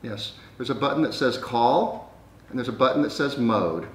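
A man's voice: medium pitch, moderate pace. An older man co-hosting a casual gadget test at home, talking with dry, grumbling sarcasm. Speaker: vlog co-host. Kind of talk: casual chat while testing a gadget. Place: dining room at home. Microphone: camera microphone across the room. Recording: echoey room, distant mic, faint noise.

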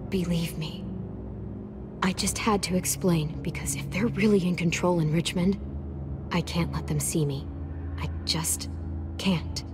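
An actress playing a hardened teenage girl survivor in a video game, terse and guarded, often hushed. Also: on the low side, fairly even intonation, unhurried, long pauses, natural voice. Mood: pleading. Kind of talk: naturalistic video game acting. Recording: background music under the voice.

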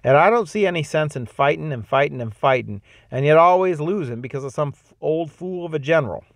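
Angry young male soldier, exasperated, loud, read by a man, raised voice. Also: a little high, expressive, brisk, creaky, dry recording, clean studio sound, pitched above the performer's own voice. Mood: indignant.

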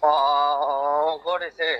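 A man's voice: on the high side, flat delivery, drawn-out words. A man receiving a call and chatting casually, heard through a lo-fi phone speaker. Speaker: prank call recipient. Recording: dull lo-fi phone speaker audio.

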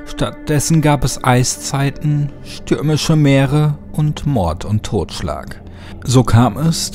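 A man reading a scripted first-person horror story dramatically, with brooding, deliberate phrasing. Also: medium pitch, dark tone. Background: music.

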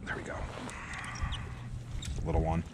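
A young man with an Upper Midwest American accent vlogging casually while ice fishing, upbeat and excited over catches, recorded outdoors on an action camera. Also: a little slow. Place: frozen lake outdoors. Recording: loud wind noise outdoors.

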